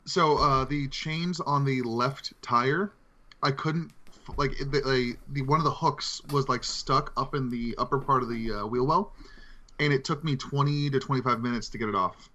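A man's voice: medium pitch, normal speed, pushed and bright, highs cut off. A man co-hosting a podcast in casual chat, joking and self-deprecating. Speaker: podcast co-host.

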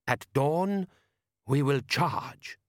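A man voicing a proud, reproachful desert warrior who speaks slowly and deliberately. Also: a little high, animated, dry recording, clean studio sound, pitched above the performer's own voice. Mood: stubborn, proud. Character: fierce reproachful desert warrior, proud self-declared dreg.

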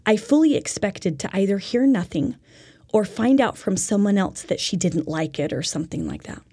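Husky woman giving personal testimony. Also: mid-range voice, big pitch swings, moderate pace, mellow, dry recording, clean studio sound. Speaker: co-presenter of a religious lesson. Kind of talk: personal testimony told as a teaching story.

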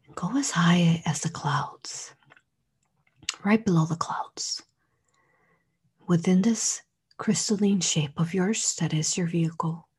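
A woman leading a guided meditation in a soothing, hypnotic way, with drawn-out, repeated phrases and a breathy voice that often drops into a whisper. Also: low voice, darkish tone.

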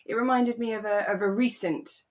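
A British woman co-hosting a podcast, chatting in a relaxed way about a radio drama. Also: fairly high pitch, brisk. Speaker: podcast co-host.

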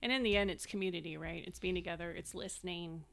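A woman co-hosting a podcast, speaking in a relaxed, conversational way. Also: lowish voice, fast, creaky. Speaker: podcast co-host. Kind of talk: casual interview chat.

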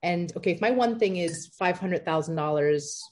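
A woman in her thirties, an entrepreneur answering interview questions in a chatty, lively way with many fillers. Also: fairly low pitch, moderate pace. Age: 30s. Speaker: entrepreneur guest.

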